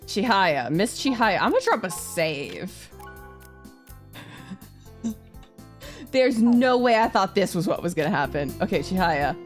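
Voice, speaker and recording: A woman's voice: mid-range voice, sing-song, unhurried, long pauses. A woman streaming a game with casual, exclamatory live commentary, often repeating her phrases. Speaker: streamer playing a visual novel. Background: game music.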